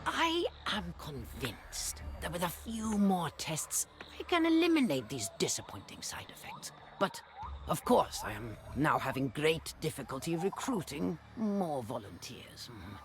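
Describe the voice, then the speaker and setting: Theatrical, obsessive sorceress, wildly swooping delivery. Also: female voice, low voice, wildly swooping pitch, heavily acted. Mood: clinical, self-satisfied. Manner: theatrical, articulate, self-justifying, with weighty pauses and sighs. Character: obsessive, embittered sorceress experimenting on villagers for a cure. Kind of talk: dramatic video game journal narration. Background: prominent music.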